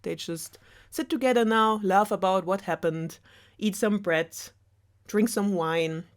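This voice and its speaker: A woman's voice: mid-range voice, unhurried. A woman casually retelling a story in a sarcastic, rambling, giggly way as a storytelling host. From Germany. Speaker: storyteller host of a web series.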